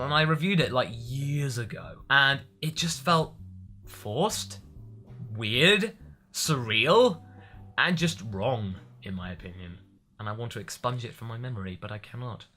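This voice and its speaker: A man's voice: mid-range voice, lively intonation, moderate pace, sharp tone. A man speaking expressively as a vlogger answering viewer questions, stressing and stretching words for emphasis. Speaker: vlogger and anime parody voice actor.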